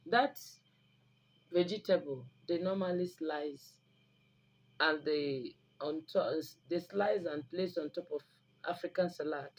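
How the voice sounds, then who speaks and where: A woman's voice: low voice, breathy edge, rough recording. A woman with a Nigerian Igbo accent, a native Igbo speaker, talking informally and instructively to viewers in an emphatic, preachy way, repeating phrases for stress. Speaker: online video host giving herbal remedy advice. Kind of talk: informal instructive talk to camera. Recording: noticeable background noise.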